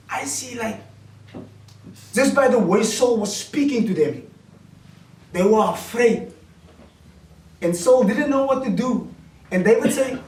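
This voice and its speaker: A man in his late twenties with a Cape Town South African accent, giving a personal testimony in a preaching, storytelling way, in deliberate phrases with repetition. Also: very high-pitched, a little mellow. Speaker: guest speaker giving testimony. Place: indoor room with small seated audience. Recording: roomy, distant camera mic with noise.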